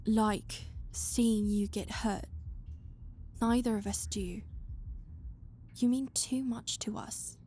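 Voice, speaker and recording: A woman's voice: on the high side, darkish tone. A woman voicing a composed young noblewoman, precise and dryly sarcastic but caring, in an audio drama. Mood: earnest.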